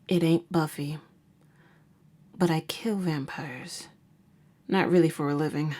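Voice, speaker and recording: A woman's voice: low-pitched, darkish tone. A woman playing a jaded, sardonic vampire hunter who works as a nurse, talking in a wry, slangy way in an ASMR roleplay. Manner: wry, casual, slangy, unhurried. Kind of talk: ASMR roleplay audio drama.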